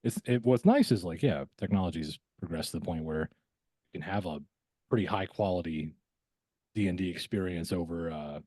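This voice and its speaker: A man's voice: low-pitched, moderate pace, dark tone, dry recording. A man chatting casually as a podcast guest. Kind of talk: casual chat.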